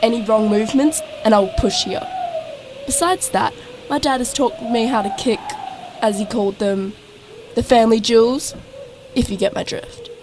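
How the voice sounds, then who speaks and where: A woman's voice: fairly high pitch, normal speed, close to an everyday voice. A young woman acting in an audio drama as a blunt, suspicious, street-wise friend, with a brash, matter-of-fact manner. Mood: wary, threatening. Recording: echoey room with faint noise.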